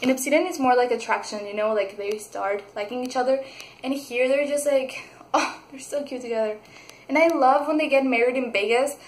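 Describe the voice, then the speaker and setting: A young woman from Mexico, reviewing a book in a casual vlog, gushing and excitable with many fillers and restarts. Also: sits high, a little fast, a little hiss or hum. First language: Spanish. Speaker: book vlogger. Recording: echoey room.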